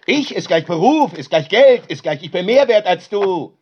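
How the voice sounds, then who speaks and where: A man's voice: high voice, lively intonation, moderate pace, husky. Volatile man on dull tape. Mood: furious, abusive. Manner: ranting, argumentative, swearing. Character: defensive, volatile male sound artist who records everything. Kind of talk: naturalistic radio play dialogue. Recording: dull, lo-fi home tape sound.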